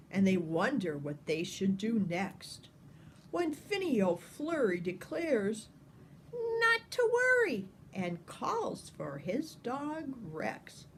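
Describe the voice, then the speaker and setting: Slow, very expressive grandmotherly woman storyteller. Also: medium pitch, a little hiss or hum. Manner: expressive, deliberate phrasing. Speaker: grandmother reading a picture book aloud. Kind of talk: children's storytelling with asides to young listeners.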